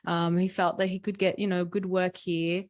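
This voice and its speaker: A woman in her forties with an Australian accent, a podcast guest talking about her background in a relaxed, storytelling way with frequent fillers. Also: on the low side, moderate pace. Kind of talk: conversational interview.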